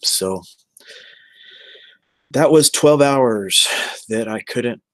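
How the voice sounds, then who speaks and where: A man's voice: mid-range voice, very slow, no echo. An American man in his forties chatting casually on a livestream, rambling and addressing viewers one by one. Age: 40s. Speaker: live streamer.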